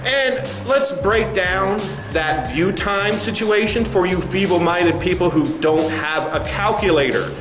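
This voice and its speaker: Man acting as condescending company spokesman, satirical sketch, high male voice, very animated intonation, smug asides. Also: heavily acted. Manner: smug, patronizing, halting asides. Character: smug, condescending male corporate spokesman addressing angry protesters. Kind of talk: satirical sketch, mock press conference. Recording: roomy echo, faint music behind.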